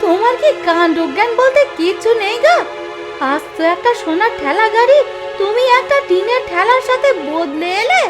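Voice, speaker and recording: A woman playing an exasperated, money-minded village housewife in an animated moral story, nagging and indignant. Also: very high-pitched, moderate pace, loud music behind the voice. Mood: scolding.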